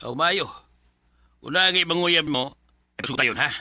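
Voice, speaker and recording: An insistent middle-aged village father from a radio drama. The voice is piercingly bright and fairly high for a man, recorded dry. He speaks with a Cebuano Filipino accent and presses his point firmly. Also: normal speed, no echo. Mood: stern, probing. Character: rustic father nagging his daughter about suitor. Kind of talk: Bisaya radio drama acting.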